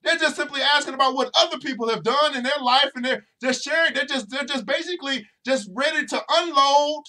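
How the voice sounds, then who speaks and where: A man's voice: extremely high pitch, a little fast, bright, forceful tone, rough recording. A man hosting a motivational podcast, preaching to his listeners directly and repeating phrases over and over for emphasis. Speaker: financial educator and podcast host.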